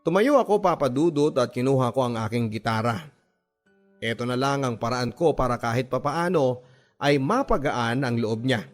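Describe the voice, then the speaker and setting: A male actor plays a gentle twenty-something working man recounting his life for a radio drama. His medium-pitched voice is earnest and sentimental, moving in measured phrases with dramatic pauses. Soft music sits underneath the narration. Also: natural voice. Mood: tender, wistful. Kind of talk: first-person dramatic radio storytelling.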